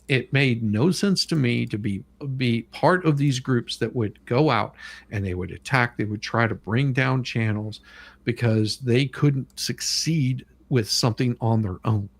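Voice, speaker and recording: A man with a medium-pitched voice hosts a casual livestream. He talks haltingly, circling back and repeating phrases with emphasis. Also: vocal fry. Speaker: livestream host with own channel.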